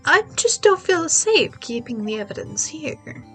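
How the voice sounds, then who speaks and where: Woman doing a calm lawyer sister, measured, gently teasing, stuffy, slow delivery. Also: high voice, faint music behind, pitched above the performer's own voice, full character voice.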